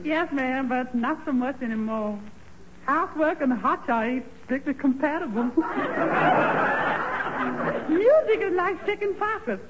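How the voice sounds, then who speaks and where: Casting: boisterous, folksy housemaid, extremely high voice, old radio comedy, very noisy recording. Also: lively intonation, highs cut off. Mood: cheerful, deferential. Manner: folksy, exuberant, laughing, drawn-out exclamations. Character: jolly, boisterous housemaid Beulah, a woman. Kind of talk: 1940s radio comedy acting.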